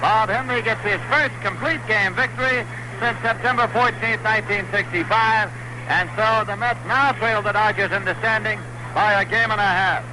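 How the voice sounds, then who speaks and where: High-voiced man calling baseball on old radio. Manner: measured, calm calling that lifts on big plays. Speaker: baseball play-by-play announcer. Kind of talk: radio play-by-play commentary. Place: stadium broadcast booth. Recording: noisy old 1960s radio broadcast.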